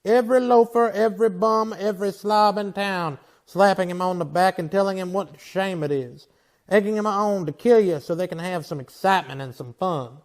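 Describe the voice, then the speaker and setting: A man's voice: sits high, normal speed, close to an everyday voice. An actor playing a stern, wry Old West marshal in a radio drama, blunt and folksy in his manner. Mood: exasperated warning. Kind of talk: old-time western radio drama acting.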